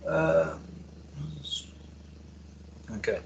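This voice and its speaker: A man's voice: very slow, a little mellow, highs cut off, a little hiss or hum. Man giving an informal technical seminar. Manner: informal, hesitant explaining with self-corrections. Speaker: academic researcher giving a seminar. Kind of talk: technical seminar presentation with slides. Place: seminar room with an audience present.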